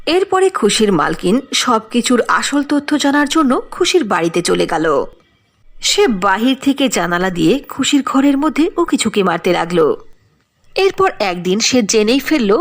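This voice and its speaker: A woman narrating a scripted cartoon fairy tale as an expressive storyteller. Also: fairly high pitch, moderate pace.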